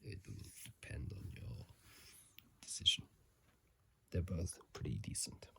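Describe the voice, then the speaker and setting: A man giving casual, halting ASMR commentary in a hushed, breathy whisper, sleepy and close to a phone microphone. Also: low voice, animated. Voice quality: breathy, gravelly soft whisper. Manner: slow, halting, hushed delivery. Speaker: video host.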